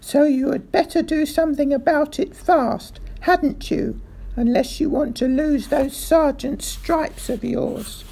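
A woman voicing a blustering, angry rich male landowner who shouts and bullies. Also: a little high, lively intonation, a little slow, creaky, few pauses, breathy edge, pitched above the performer's own voice, exaggerated voice, low-quality recording. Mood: furious. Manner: shouting, furious, overbearing.

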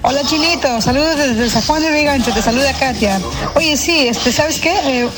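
A woman's voice: high-pitched, normal speed, over music. A woman with a Lima, Peruvian accent leaving a casual voice message for a radio show. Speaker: listener sending a voice message.

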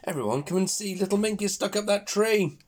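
A man voicing an excitable storybook duck, raised and quacky. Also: sits high, fairly quick, voice pushed up. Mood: alarmed.

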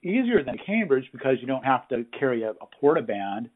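A man hosting a casual interview over the phone, talking informally and effusively in halting phrases. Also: mid-range voice, very animated. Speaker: interviewer, hands-on tradesman. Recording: husky-sounding, thin phone-line audio.

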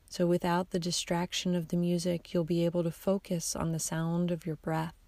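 Female yoga nidra guide: lowish, soft, soothing delivery, hushed and unhurried, in a very dry close recording. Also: no room sound. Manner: soothing, hushed, unhurried instruction with long pauses. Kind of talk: guided meditation narration.

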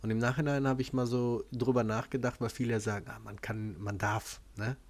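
A man's voice: mid-range voice, steady tone, fairly quick. A man hosting a podcast in a casual chat, asking questions conversationally. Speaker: podcast host.

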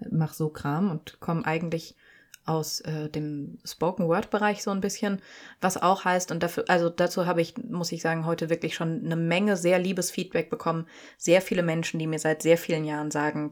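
Podcast co-host: woman, 29, lowish pitch, casual conversational delivery, dry self-deprecating humour. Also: a little mellow. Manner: self-deprecating, dryly joking. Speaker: podcast co-host, spoken-word artist.

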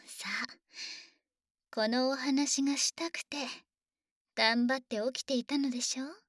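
A woman voicing a devoted, formal female dragon maid who dotes on her young master, in a soft, often whispered sleep roleplay. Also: high voice, steady tone, unhurried, no echo, clean studio sound. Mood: gently firm. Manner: formal, doting and gently teasing.

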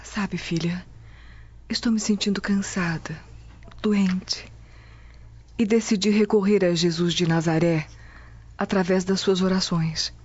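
A woman's voice: lowish voice, steady tone, measured pace, long pauses, a little mellow, breathy edge. An actress playing a weary, anguished Roman noblewoman and mother in a radio drama. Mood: weary, troubled. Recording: dull lo-fi radio drama audio. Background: music bed.

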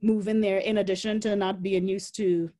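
A woman's voice: medium pitch, little pitch movement, moderate pace, dark tone. A woman in her forties from Trinidad, with a Trinidadian accent, telling her story as an interview guest, reflective and laughing often between fillers.

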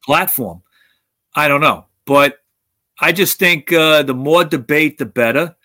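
A man's voice: on the high side, expressive, a little slow, sharp tone, no room sound, clean studio sound. A man hosting a talk show, speaking bluntly with frequent swearing and restarts. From United States. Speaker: podcast host. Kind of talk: opinionated casual talk.

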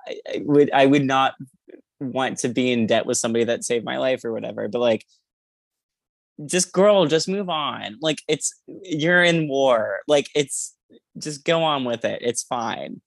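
Male, podcast co-host, casual chat, rambling and hesitant, fry in the voice, dry sound. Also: medium pitch, measured pace, dry recording. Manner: hesitant, rambling, filler-heavy with "like". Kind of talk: casual book discussion chat.

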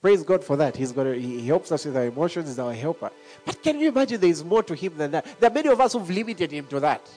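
A high-pitched man delivers a lively sermon, laughing often as he moves between points. Soft music plays faintly behind him. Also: fast. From Zambia. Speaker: preacher.

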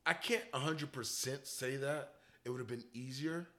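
A man in his forties co-hosting a casual podcast, talking in an easygoing, animated way with a slightly congested voice. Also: mid-range voice, moderate pace. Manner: animated, joking, breaks into laughter. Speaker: podcast co-host. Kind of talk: casual chat.

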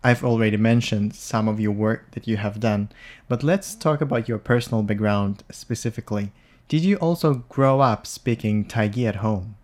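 A man hosting an audio podcast, asking an expert guest measured, formal interview questions. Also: fairly low pitch, a little mellow. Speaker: podcast host.

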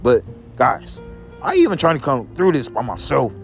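A young St. man talks straight to his viewers in a loose, rambling way, looping back over the same phrase again and again. His pitch jumps all over the place, climbing high and then dropping low. Music plays clearly behind him. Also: very animated, normal speed. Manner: repeats each phrase several times, keeps addressing viewers as "guys". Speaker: vlogger. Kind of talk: rambling casual monologue to viewers. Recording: music playing in the background.

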